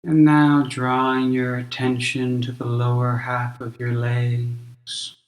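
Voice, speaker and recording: A man leading a guided meditation as a teacher, speaking gently and in a measured, instructional way with long pauses. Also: mid-range voice, completely flat delivery, echoey room.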